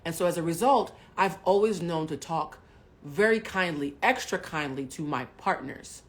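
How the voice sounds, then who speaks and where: A woman in her forties hosting a livestream, telling a personal story bluntly and with plenty of profanity, swinging between hushed asides and loud bursts. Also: lowish voice, moderate pace. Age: 40s. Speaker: livestream host. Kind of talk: candid storytelling to a live audience.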